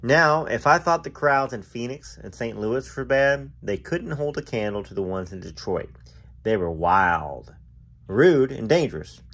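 A man reads aloud as a storytelling host, with dramatic phrasing and emphatic starts to his sentences. His pitch is lively and varied, and he slips easily into casual asides. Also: a little slow. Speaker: host reading a memoir aloud.

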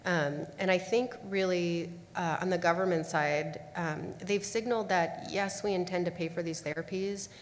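A woman on a conference panel, answering questions in a measured way with many fillers and restarts. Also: on the low side, steady tone. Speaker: panelist on payer contracting. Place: large conference hall with audience. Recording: echoey hall from far off, faint hum.

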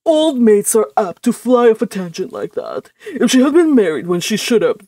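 A blustering older uncle from a storybook, acted by a woman, indignant and scornful. He makes growling, forceful pronouncements, with lively swings of pitch, recorded close and dry. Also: normal speed, no room sound. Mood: impatient, scornful. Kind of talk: audiobook character voice.

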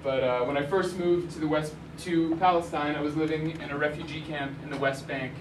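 A young man gives a relaxed talk in a university hall, filling gaps with ums and restarting sentences. His voice is slightly high. It is picked up from far off with plenty of room echo and steady background noise. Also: brisk. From Arizona, USA. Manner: casual, many ums and restarts. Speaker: journalist giving a talk. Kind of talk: lecture with slides. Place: university lecture hall, indoors. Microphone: room mic at a distance. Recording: echoey hall, mic far off, noisy.

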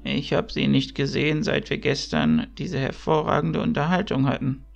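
A man playing a cheerful, kindly elderly village priest in an audio drama, chatty and musing, with a creaky voice. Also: fairly high pitch, sing-song, highs cut off, soft background music, full character voice. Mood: curious, gentle. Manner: jovial, chatty, drawn-out musing.

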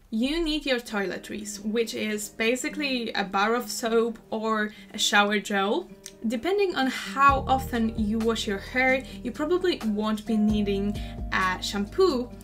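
A young woman in her twenties with a Polish accent, giving chatty, animated advice in a casual vlog from her bedroom. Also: fairly high pitch. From Poland. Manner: chatty, animated, gestures a lot while talking. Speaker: cosplay vlogger. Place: bedroom at home. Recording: background music under the voice.

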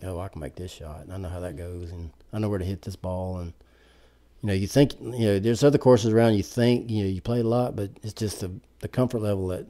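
A man's voice: sits low, mellow. A man chatting casually as a podcast guest, laid-back and plain-spoken. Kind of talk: casual chat about golf.

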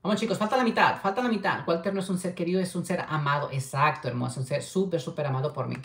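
A man's voice: fairly high pitch, quick pace, vocal fry, a bit bright. A man, chatting affectionately on a livestream and reading viewer comments. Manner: affectionate, chatty, keeps urging likes. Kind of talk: casual livestream chat. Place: small home room with window. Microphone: webcam at desk distance. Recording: echoey room.

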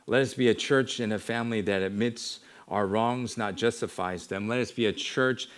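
American man preaching an earnest sermon. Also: fairly low pitch. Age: middle-aged. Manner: earnest, measured exhortation with repeated parallel phrases. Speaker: pastor preaching. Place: church sanctuary, at a wooden pulpit.

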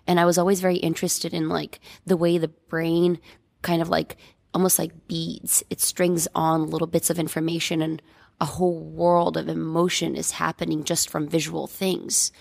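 A woman's voice: deep voice, flat delivery, normal speed, creaky, a little mellow, no echo. A woman from Bosnia, a painter, talking reflectively in a casual interview and hunting for words with many fillers. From Bosnia and Herzegovina.

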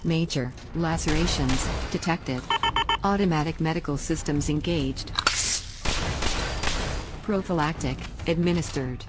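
An actress voicing an impassive computer in a video game, giving flat, clinical alerts. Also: female voice, low-pitched, unhurried, pauses often, heavy background noise. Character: impassive female computer voice of a hazard suit. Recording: loud gunfire over the voice.

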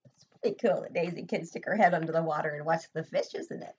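A woman's voice: deep voice, fast talker, husky, dull audio. An older woman, a preschool teacher, reading a picture book expressively to young children and asking simple questions, recorded indoors at home. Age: older, 60s or above.

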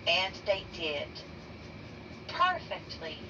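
A woman reading a children's picture book aloud slowly and deliberately, raising her pitch for the characters' lines. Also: sing-song. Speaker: read-aloud narrator. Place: bedroom, indoors. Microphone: phone camera held over the book. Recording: dull lo-fi sound from a distance with noise.

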